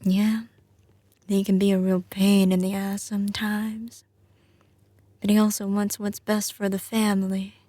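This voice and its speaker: A woman's voice: mid-range voice, little pitch movement, mellow, no room sound, natural voice. A woman playing a doting, tough young mafia heiress with a Southern US drawl, speaking intimately to her partner in a teasing ASMR roleplay. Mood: fond, confident. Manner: slow, drawling, teasing endearments. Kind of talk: ASMR roleplay, intimate scripted monologue to the listener.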